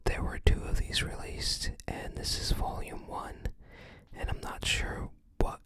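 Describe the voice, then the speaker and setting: A person whispering softly and breathily in an unhurried, musing ASMR show-and-tell. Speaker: ASMR video creator.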